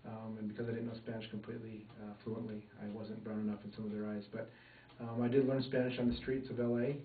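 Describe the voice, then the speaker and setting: A man from Minnesota giving an oral history interview, talking in a thoughtful, measured way with many fillers. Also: on the low side, level tone, slight background noise. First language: English. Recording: echoey room, far mic, phone-like band.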